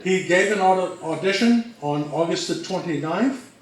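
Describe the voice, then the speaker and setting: A man co-presenting a slide talk on history in a measured, deliberate way. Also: high-pitched. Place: reverberant room, live presentation. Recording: very echoey room, distant mic, faint noise.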